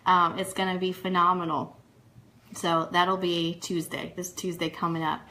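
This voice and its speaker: A woman's voice: on the low side, level tone, normal speed, pushed and bright, a little hiss or hum. A woman hosting a live online radio show, chatting through fan news and announcements in an upbeat, enthusiastic way that speaks straight to listeners. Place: studio.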